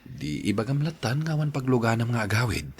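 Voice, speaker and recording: A man's voice: mid-range voice, lively intonation, a little fast, breathy edge. A man acting an insistent, coaxing secret lover, a personal driver, in a podcast drama. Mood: pleading.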